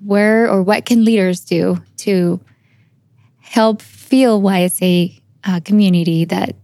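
A woman interviewing in a conversational podcast style, phrasing her questions a little haltingly. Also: mid-range voice, steady tone, mellow, no echo.

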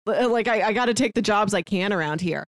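A woman's voice: medium pitch, fast, dry recording, clean studio sound. A woman co-hosting a podcast, casually recapping films and acting out lines of dialogue as she retells them. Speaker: podcast co-host. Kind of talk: casual film discussion, recapping scenes.

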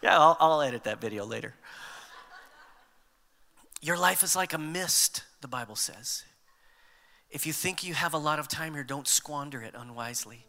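A man in his fifties preaching a sermon as a pastor, conversational and animated, mixing scripture with humor. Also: on the high side, normal speed, pauses often, long reverb tail.

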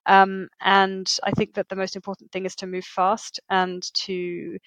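A woman's voice: medium pitch, monotone, a little slow, fairly bright tone, no room sound, dull audio. A woman speaking as an expert guest in a conversational interview, explaining things and often checking with a tag question. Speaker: interview guest, industry editor.

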